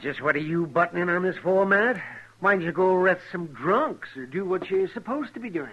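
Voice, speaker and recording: A cranky old frontier doctor in a radio western. The voice sits high and jumps around with biting sarcasm. The 1950s recording is dull and narrow. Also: male voice, expressive, brisk, noisy background. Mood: irritable, sarcastic.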